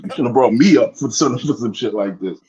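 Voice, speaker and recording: A man's voice: medium pitch, animated, fairly quick, darkish tone. A man calling in, fired up and rambling, repeating himself as he makes his points. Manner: fired up, rambling, repeats "you know what I mean". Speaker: guest caller. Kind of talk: heated casual commentary. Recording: muffled lo-fi call audio.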